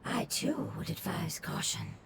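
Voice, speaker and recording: A woman voicing a cautious, formal elemental being in a video game, breathy and measured. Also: lowish voice, huge pitch swings, heavy background noise, heavily acted. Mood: grave, cautious. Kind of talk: scripted video game dialogue. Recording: echoing voice under loud game music.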